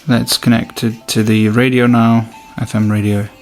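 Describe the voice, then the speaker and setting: A man's voice: medium pitch, little pitch movement, moderate pace, darkish tone, soft background music. A man doing a casual, hands-on product review, thinking aloud informally as he demonstrates. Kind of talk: casual unboxing and review commentary.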